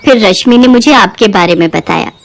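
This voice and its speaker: A woman voicing a friendly, chatty city customer with a brisk, courteous manner in a Hindi moral-story cartoon. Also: mid-range voice, vocal fry, close to an everyday voice. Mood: friendly, requesting.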